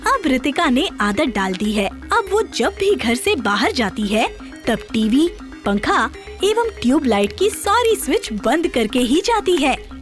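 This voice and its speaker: A woman's voice: sits high, very animated, unhurried, soft background music. A woman narrating a scripted children's story as its storyteller.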